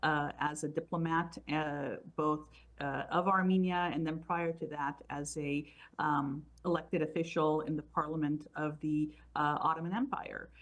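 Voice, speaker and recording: A woman from San Francisco speaks as an interview guest. Her voice sits a little low, and she talks in a halting way, filling pauses with frequent "uh"s. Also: steady tone. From San Francisco, United States. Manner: hesitant, many fillers. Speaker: guest, community activist. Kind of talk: conversational interview answers.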